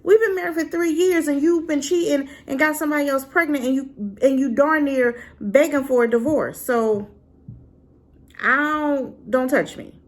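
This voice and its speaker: A divorced adult woman giving a sassy, animated casual review straight to her viewers. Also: sits high, moderate pace. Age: adult, been married and divorced. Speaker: drama reviewer.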